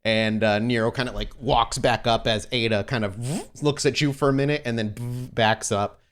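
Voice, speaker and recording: A man's voice: medium pitch, fairly even intonation, normal speed. A man running a tabletop role-playing game, narrating and improvising in a loose, conversational, amused way. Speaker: game master.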